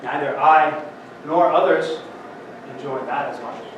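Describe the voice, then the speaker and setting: A man from Colorado speaks slowly to a conference crowd, dramatic and shifting between loud and soft. His voice sits a bit high. It is heard from a distance in a heavily echoing hall, with a steady hiss of noise under it. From Denver, Colorado. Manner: dramatic, slow, swings loud and soft, bursts into song. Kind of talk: spoken word poetry and talk. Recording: very echoey hall, from far off, noisy.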